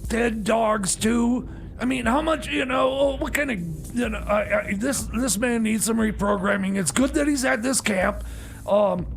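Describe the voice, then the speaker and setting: A man playing a flustered, apologetic substitute radio DJ on a comedy show, stammering and halting in exasperation. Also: fairly bright tone, exaggerated voice. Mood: exasperated, apologetic. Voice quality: high, heavily creaky voice. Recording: faint background noise.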